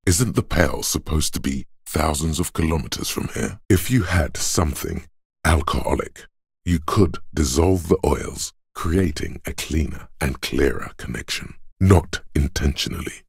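A detective's inner logic for a game: gravelly, raspy, measured and analytical, very dry close sound. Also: male voice, animated, no echo, exaggerated voice. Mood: coolly deliberate. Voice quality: very deep, gravelly, husky. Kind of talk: video game narration.

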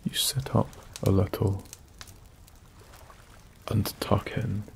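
A Scottish man narrating a bedtime story in a soft-spoken, soothing ASMR style, with long pauses. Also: lowish voice, flat delivery, vocal fry. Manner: slow, soothing, hushed delivery with long pauses. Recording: faint background noise. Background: soft nature ambience.

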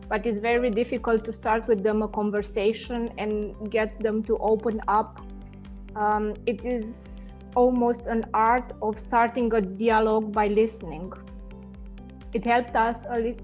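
Interview guest, thirties woman, raised but flat delivery, earphone mic over a lo-fi video call. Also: a little high. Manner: measured, hesitant with frequent fillers. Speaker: marketing head, interview guest. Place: indoor home office. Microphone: wired earphone mic on video call.

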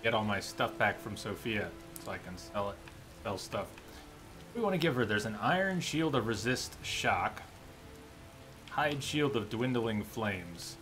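A man's voice: on the low side, animated, measured pace, fairly bright tone. A man in his thirties livestreaming a game indoors with casual commentary, musing aloud as he chats to viewers. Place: indoor streaming setup. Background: game music and ambience.

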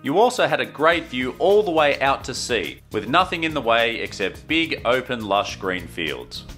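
Lively, bright-voiced man narrating travels. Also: medium pitch. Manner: enthusiastic, storytelling. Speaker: travel vlogger. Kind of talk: scripted travel voice-over narration. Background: faint guitar music.

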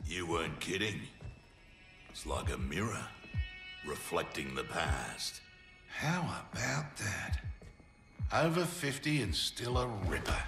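An actor playing a sly, cocky, middle-aged Australian mercenary crime boss in a video game, gruff, taunting and swaggering. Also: male voice, low-pitched, big pitch swings, slow, pauses often, exaggerated voice. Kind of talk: video game cutscene acting. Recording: roomy echo, loud music underneath.

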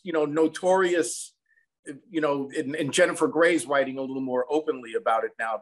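An American man in his sixties telling anecdotes in a reflective, conversational interview style over a video call. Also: fairly high pitch. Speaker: songwriter and film composer, interview guest. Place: small room at home, indoors.

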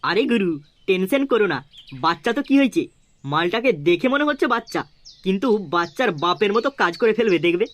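A man's voice: high voice, animated, brisk, a bit bright. A man voicing a cheeky, fawning henchman in a comedy cartoon, teasing and placating by turns. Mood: boastful, reassuring. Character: cheeky, fawning male sidekick of a gang boss.

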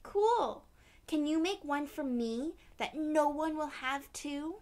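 Woman as high, loud, wide-eyed schoolkid. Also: slow pace. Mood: amazed, excited. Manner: high, exclaiming. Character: eager, admiring young classmates, loud and wide-eyed.

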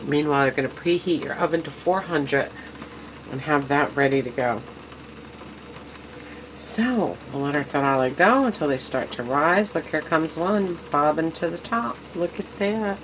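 A woman's voice: deep voice, normal speed, slight background noise, rough recording. A woman hosting a casual home cooking demonstration in her kitchen, chatty and enthusiastic, talking to her viewers as she works at the stove. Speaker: home cooking show host.

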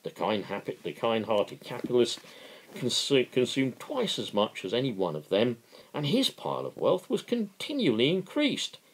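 A middle-aged man giving a dramatic reading and impassioned political commentary, emphatic and deliberate, with dramatic pauses. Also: medium pitch, big pitch swings, rough recording. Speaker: amateur reader and political commentator. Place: small living room at home, indoors.

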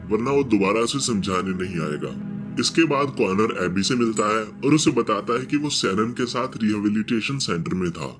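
A man reading a scripted film recap as a storytelling narrator. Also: fairly low pitch. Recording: loud music bed under voice-over. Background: background music.

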